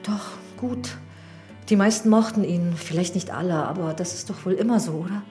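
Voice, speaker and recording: A woman's voice: on the low side, normal speed, a little mellow, close to an everyday voice. Radio drama housekeeper female actor: guarded widow in service, respectful yet evasive, music underneath. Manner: deferential, evasive, hesitant. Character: guarded, deferential widowed housekeeper of a village parson. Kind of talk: German radio drama acting.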